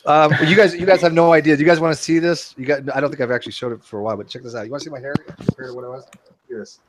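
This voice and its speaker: A man's voice: a little high, fairly even intonation, rapid-fire, noisy background. A man hosting a casual video call chat, rambling and joking at his own expense with lots of restarts. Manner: self-deprecating, rambling with restarts. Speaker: host.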